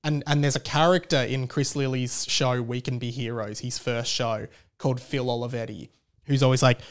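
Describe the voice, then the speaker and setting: A man's voice: medium pitch, fairly even intonation, moderate pace. An Australian man in his thirties hosting a podcast, talking casually in a rambling, wry, mock-serious way. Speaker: podcast host. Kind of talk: casual solo podcast commentary.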